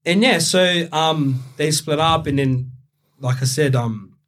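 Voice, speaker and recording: Laid-back young Brazilian-born man, fairly high voice. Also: unhurried, a bit bright. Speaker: interview guest. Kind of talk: candid storytelling.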